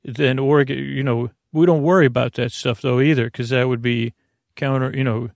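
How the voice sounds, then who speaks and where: A man with a creaky voice hosting a sleep podcast, lulling and soothing as he meanders haltingly through a rambling bedtime monologue. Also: medium pitch, steady tone, no echo. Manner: meandering, halting, repeats and drifts off topic. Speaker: podcast host.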